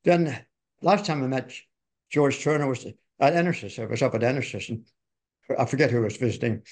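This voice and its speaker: Older guy a bit hoarse from a cold, rambling folksy podcast talk, lots of restarts. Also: mid-range voice, normal speed, a little mellow. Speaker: podcast co-host. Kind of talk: conversational industry reminiscence.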